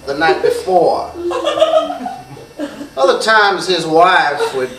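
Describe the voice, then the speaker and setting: A man's voice: a little high, lively intonation, takes it slowly, a bit bright. A man playing a folksy, rambling small-town local in a live comedy sketch, hesitant and aw-shucks. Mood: earnest, fussy. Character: folksy small-town male neighbor and bashful sheriff. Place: small theatre stage before an audience. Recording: echoey, distant stage recording with audience.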